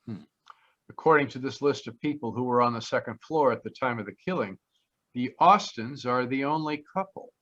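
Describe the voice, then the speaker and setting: A man's voice: medium pitch, moderate pace, fairly bright tone, natural voice. A man playing a confident, wry private detective in an old-time radio drama, speaking in a clipped, assured way. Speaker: actor. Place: live online video call. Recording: slightly dull video-call audio.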